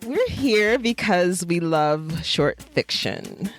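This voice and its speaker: A woman's voice: on the low side, animated, slow, soft background music. A woman from Florida with an American accent, co-hosting a podcast in a candid, informal conversational manner. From Florida, United States. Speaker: podcast co-host, writer.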